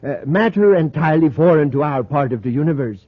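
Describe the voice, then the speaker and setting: A tinny, vintage radio recording carries an earnest middle-aged professor. His voice is husky and rather high, scholarly and excitable, with small hesitations as he explains. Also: quick pace. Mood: intense, explanatory. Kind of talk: 1950s radio drama acting.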